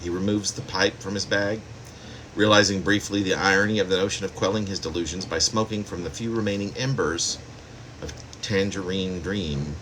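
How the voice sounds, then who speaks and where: A man with a very deep voice reads his own poetry aloud. It is a poet's recitation, recorded plainly with a faint hum of air conditioning behind the words. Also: fairly even intonation, normal speed, a bit bright, poor audio. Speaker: poet. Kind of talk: poetry reading of own work.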